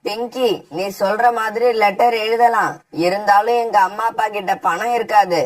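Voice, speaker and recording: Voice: medium pitch, fairly even intonation, moderate pace, far from the mic, lightly acted. A voice actor playing a young male bird student in a cartoon, speaking in an earnest, reassuring way.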